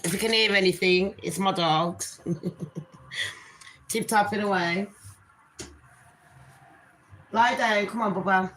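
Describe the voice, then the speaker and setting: A woman's voice: medium pitch, takes it slowly, pauses often, fairly bright tone, slight background noise, low-quality recording. Nasal woman chatting to viewers. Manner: chatty, rambling, calls viewers people and darlings. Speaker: gossip livestream host. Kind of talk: casual live chat to viewers.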